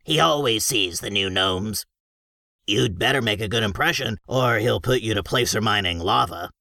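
A man's voice: on the low side, moderate pace, gravelly, sharp tone, dry recording, clean studio sound. A man voicing a blunt, cheerfully rude male gnome in an audiobook. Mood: gruff gleeful. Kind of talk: audiobook character voice.

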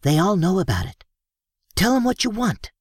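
A woman's voice: mid-range voice, big pitch swings, normal speed, a little mellow, breathy edge, no echo, clean studio sound. A woman doing an eager gnome miner. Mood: urging.

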